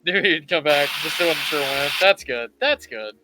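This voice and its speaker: A man's voice: a little high, animated, normal speed, loud music behind the voice, rough recording. A man hosting a casual live painting tutorial, thinking aloud and rambling as he works. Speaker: livestream host teaching miniature painting.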